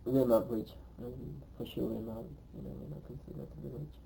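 A man's voice: mid-range voice, fairly even intonation, vocal fry, warm tone, slight background noise, poor audio. A man in his twenties from Cambodia, speaking English, recalls his life in an oral history interview and often stops to say um. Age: 20s. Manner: hesitant, pauses often with um.

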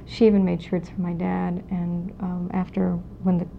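A woman in her forties from Los Angeles recalls her family history slowly, with many pauses and fillers. Her voice sits low and flat, with a gravelly fry. It comes through dull, lo-fi tape with a faint hiss. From Los Angeles, California. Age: 40s. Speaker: oral history interviewee. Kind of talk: recorded oral history interview.

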